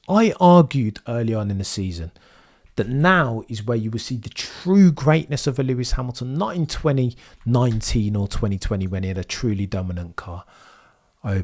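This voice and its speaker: Young guy streaming, voice going hoarse, rambly and opinionated, keeps tacking on "right?". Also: mid-range voice, a little mellow. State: losing his voice, hoarse. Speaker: Formula 1 streamer. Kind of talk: live-stream commentary, reading chat.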